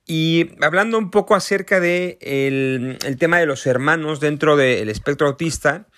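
A man in his thirties with a Mexican accent, speaking in a recorded video message as a parent and association founder, earnest and thoughtful, pausing often to find his words. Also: on the high side, a bit bright, no room sound, studio quality. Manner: earnest, thoughtful, with frequent hesitations.

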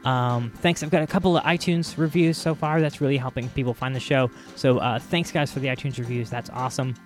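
A man hosting a podcast, chatting casually and haltingly to his listeners with a fan's enthusiasm. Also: medium pitch, steady tone, faint music behind. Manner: halting, enthusiastic fan talk. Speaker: podcast host. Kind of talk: casual solo chat to listeners, commentary.

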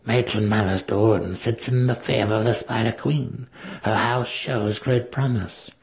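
A man voicing an imperious, shrewd female dark elf matron with a formal, commanding manner in a fantasy audiobook. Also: fairly low pitch, fairly even intonation, a little slow, vocal fry. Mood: imperious, measured. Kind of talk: dramatic fantasy audiobook reading.

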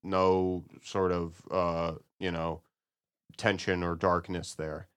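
A man co-hosting a podcast, discussing and reviewing in a casual, halting, analytical way. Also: sits low, level tone, fairly bright tone, smooth. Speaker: podcast co-host.

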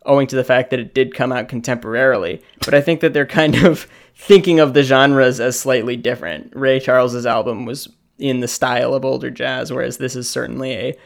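A man's voice: mid-range voice, fairly even intonation, a little fast, gravelly. A man co-hosting a podcast, talking in a wry, joking way and laughing often. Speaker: podcast co-host. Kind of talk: casual chat.

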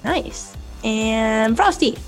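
A woman hosts a casual tech podcast panel with a fairly high voice. She is a little hoarse from being sick, and she keeps the panel moving with friendly, quick introductions. Faint music sits behind her. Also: expressive. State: hoarse from illness. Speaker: podcast host.

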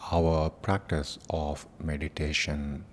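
A man leading a guided meditation as teacher, giving soft-spoken instructions with long pauses. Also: flat delivery. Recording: noisy with low rumble.

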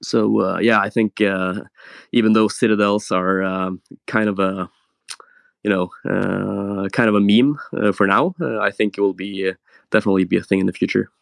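A man from Norway talking in a live audio room, halting with many filler sounds as he speaks. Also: fairly low pitch, fairly even intonation, vocal fry, dry recording, studio quality. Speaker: guest speaker.

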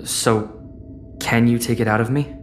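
Teenage lad played by a man, troubled and wary, medium pitch, for a sci-fi audio serial. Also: fairly even intonation, moderate pace, soft background music, lightly acted. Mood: uneasy, pleading. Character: Max, stranded teenage boy, anxious, wounded and distrustful. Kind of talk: sci-fi audio drama acting.

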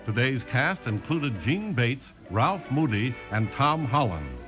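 A man's voice: medium pitch, sing-song, takes it slowly. An American man announcing formally in a projected 1950s radio style. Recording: old-time radio recording. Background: loud theme music.

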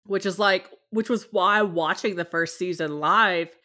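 A young woman hosting a casual podcast call, with a voice pitched a little low. She tells her stories with animated energy and pokes fun at herself. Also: measured pace. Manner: animated storyteller, self-deprecating. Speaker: podcast host. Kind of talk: casual chat over a call.

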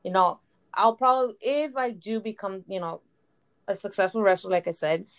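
A woman's voice: mid-range voice, normal speed. A woman, an aspiring wrestler, talking in a rambling casual way full of "you know". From United States. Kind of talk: casual interview conversation.

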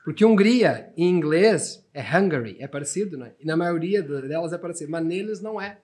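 A man's voice: fairly high pitch, animated, fairly quick, a little mellow. A man in his thirties from Portugal with a European Portuguese accent, a podcast guest telling stories in a chatty way with many restarts and tag questions. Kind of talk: casual storytelling conversation.

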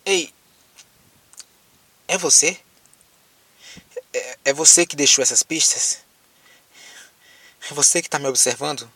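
Gravelly, lonely Brazilian survivor played by a man. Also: fairly high pitch, bright, forceful tone, no echo, distant mic, exaggerated voice. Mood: desperate pleading. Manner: hesitant, halting, repeats words. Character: lonely frightened male apocalypse survivor, anguished, pleading. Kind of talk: audio drama monologue spoken as a phone call.

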